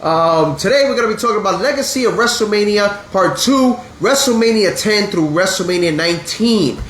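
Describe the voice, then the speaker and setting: A congested man in his thirties hosts a casual wrestling podcast, his voice sitting a bit high. He talks bluntly and earnestly. The room is echoey, with a faint hum in the background. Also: expressive, a little fast, a bit bright. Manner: earnest and blunt, swears when upset. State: congested with a sinus infection. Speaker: podcast host.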